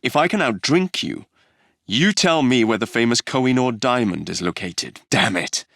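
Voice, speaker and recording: A man's voice: mid-range voice, animated, moderate pace, a bit bright, no echo, clean studio sound. A man playing a cocky young Victorian London gang leader in a video game, with an English accent and a cheeky, wry manner. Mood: brash, businesslike.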